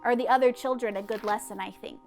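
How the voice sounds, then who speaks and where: A woman voicing an excitable, boastful kindergarten girl from a storybook, chatty and emphatic in a childlike way. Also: on the high side, fast talker, vocal fry, faint music behind. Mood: eager pleading. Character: excitable, boastful kindergarten girl narrating her school day. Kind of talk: children's storybook character reading.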